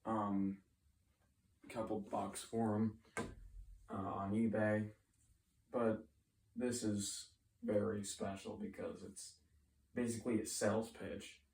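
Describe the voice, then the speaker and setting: A man casually showing off old records, talking in a relaxed, hesitant way with fillers. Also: lowish voice, slow pace, long pauses, slight background noise. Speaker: record collector. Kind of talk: casual show-and-tell. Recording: echoey room, distant camera mic.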